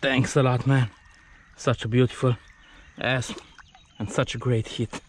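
A man's voice: medium pitch, little pitch movement, a little slow, slight background noise. A man talking casually to camera outdoors as he films his own fishing vlog. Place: outdoors by a river.

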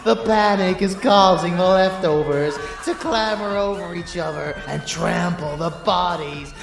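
A man playing a murderous, possessive male vampire lover in an ASMR audio drama, theatrical and drawn-out, both adoring and menacing. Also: fairly high pitch, vocal fry. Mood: bloodthirsty glee.

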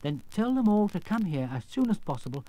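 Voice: medium pitch, very animated, a little fast, mellow, no echo, voice pushed up, close to an everyday voice, low-quality recording. A storyteller voicing a kind, loyal male scarecrow with an eager, earnest way of talking.

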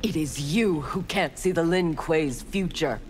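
A cold, ruthless young ninja warrior in a fighting game. Her delivery is slow, clipped and haughty, with a faint music bed underneath. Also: low-pitched, darkish tone. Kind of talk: video game dialogue.

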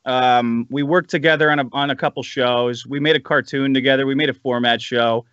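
A man's voice: mid-range voice, steady tone, brisk, a bit bright, clean tone, no echo, highs cut off. A man ranting casually as a podcast guest, profane and sardonic, over a remote call.

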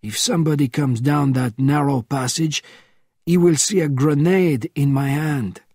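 A calm, wry old Frenchman speaks in a higher, lilting voice, as a man reads him aloud. He sounds husky and breathy, and the storybook reading is close and dry, with no room sound. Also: measured pace, dry recording. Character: elderly male French agent, wry and calm.

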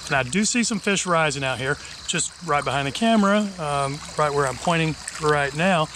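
A middle-aged man narrating a fly tying tutorial in a relaxed, chatty way, thinking aloud as he works. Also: fairly high pitch, normal speed, a little hiss or hum. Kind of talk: casual instructional narration. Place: outdoors on a wide river.

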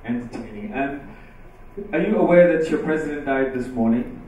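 A man in the audience asks a halting, hesitant question. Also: fairly high pitch, a little mellow, a little hiss or hum. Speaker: audience member asking a question. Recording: echoey hall, distant mic.

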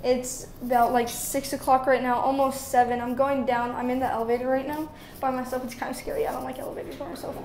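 A woman's voice: sits high, little pitch movement, fast talker, hardly any pauses, a little mellow, slight background noise, poor audio. A young woman vlogging casually about her trip, chatty and giggly, filmed on a phone at arm's length in a hotel room and a car. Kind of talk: casual travel vlog. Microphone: phone held at arm's length.

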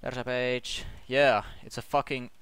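A young man with a Finnish accent, a native Finnish speaker, commenting on a horror game through a headset, talking haltingly with many restarts and yelping when startled. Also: mid-range voice, steady tone, clear, resonant tone, no echo. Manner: startled yelps and shouting when scared. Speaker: gameplay commentator. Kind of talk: let's play commentary. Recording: loud game music behind the voice.

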